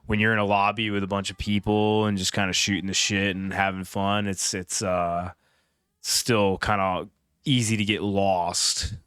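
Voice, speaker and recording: A man with a naturally deep voice chatting casually and rambling on a gaming livestream, with slang and swearing, into a close mic. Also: little pitch movement, normal speed, a bit bright, clean tone, no room sound. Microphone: close streaming mic, amplified.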